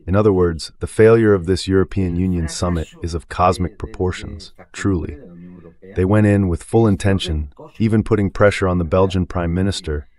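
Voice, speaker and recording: Deep-voiced man, emphatic political commentator. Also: normal speed, a little mellow. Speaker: political analyst guest. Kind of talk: political commentary, translated voice-over in English.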